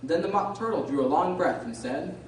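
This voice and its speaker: A composed storybook narrator voiced by a woman reads in a measured radio-drama style. The room is extremely echoey and the voice is far from the mic, under clear noise. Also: low-pitched, expressive. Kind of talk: scripted narration.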